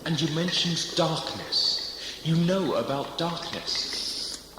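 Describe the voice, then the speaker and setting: An earnest, confused young man, played by an actor, speaks in a questioning, pleading manner with lively intonation. His voice rings in a very echoey space with clear noise behind it, as in a sci-fi audio play. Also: on the high side, takes it slowly. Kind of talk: audio drama acting. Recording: strongly echoing space with background noise.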